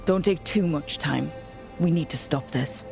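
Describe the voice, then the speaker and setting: A determined adventurer woman for a fantasy game. Her voice sits low, with a wry, matter-of-fact delivery. Music runs clearly beneath it. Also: measured pace. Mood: urgent, determined. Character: capable adventurer heroine, wry, determined young woman leader. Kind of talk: fantasy video game acting.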